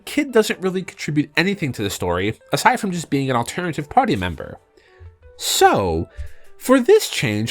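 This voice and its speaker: A man with a slightly high voice narrates a game review as a channel host. His pitch moves around in a lively, animated way, and he leans on key words in a conversational, semi-scripted delivery. Music plays faintly behind him. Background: background music.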